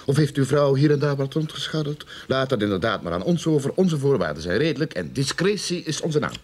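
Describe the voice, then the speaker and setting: Husky, slippery private detective. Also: male voice, medium pitch, big pitch swings, brisk, darkish tone. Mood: smoothly cheeky. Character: brusque, slippery male private detective with oily politeness. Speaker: actor in a radio drama. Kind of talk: Dutch-language radio play acting.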